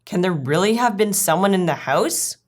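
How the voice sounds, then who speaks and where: A woman's voice: mid-range voice, animated, moderate pace, natural voice. A prim and houseproud lady cat from a storybook, performed by a woman reader. She speaks with fussy, genteel courtesy, every phrase formal and proper. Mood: puzzled, prim.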